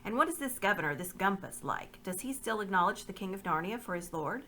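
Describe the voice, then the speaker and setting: A woman's voice: mid-range voice, expressive, brisk, fairly bright tone, a little hiss or hum, natural voice. A woman reading the part of a young boy king, speaking proudly and formally. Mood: regal.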